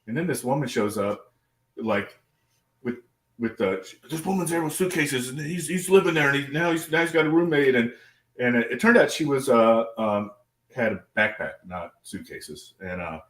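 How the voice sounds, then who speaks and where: A man's voice: mid-range voice, lively intonation, normal speed, fairly bright tone, roomy sound. An American man telling casual stories as an interview guest, rambling and sweary, with stammered repeats. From American Midwest. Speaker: guest artist. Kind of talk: casual anecdotal storytelling in a live interview.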